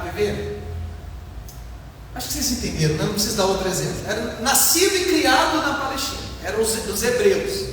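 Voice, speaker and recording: A man's voice: very high voice, fairly bright tone. A Brazilian man preaching as a pastor, explaining a Bible passage in a didactic, emphatic way with rhetorical questions and repeated points. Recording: echoey hall, distant mic, faint noise.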